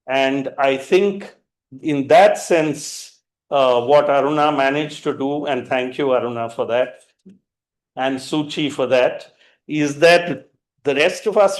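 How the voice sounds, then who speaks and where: A man speaking from a panel at a book release, giving informal remarks in long, loosely joined sentences. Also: fairly high pitch. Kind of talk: informal remarks at a public event.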